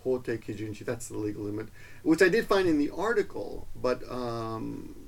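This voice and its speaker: A man with a gravelly, husky voice talks through a casual language lesson. He rambles, stops to correct himself and fills gaps with ums and uhs. His delivery is relaxed and explanatory, like a teacher recording at home. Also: medium pitch, moderate pace. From Peru. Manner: rambling, self-correcting explanations with many fillers.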